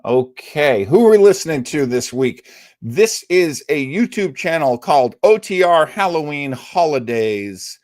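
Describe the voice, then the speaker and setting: A man's voice: on the high side, big pitch swings, a little slow, darkish tone, no echo, clean studio sound. A man hosting a casual live stream, talking in an enthusiastic, rambling way and acting out bits of conversation. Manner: enthusiastic, rambling, acts out quotes. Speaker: livestream host.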